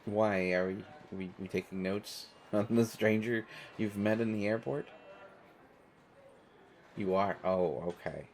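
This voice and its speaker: A man's voice: lowish voice, slow, pauses often, slight background noise. A man playing a stressed, self-deprecating airport stranger in a conversational audio roleplay, talking in hesitant, rambling stammers. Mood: nervous, friendly.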